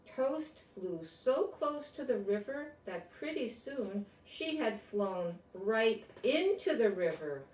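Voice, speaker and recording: Older lady reading a kids book aloud, slow n gentle, chatty asides, echoey room. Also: on the high side, very animated. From United States. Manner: gentle, simple grandmotherly explaining to children. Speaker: storyteller reading a picture book. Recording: roomy echo, distant microphone.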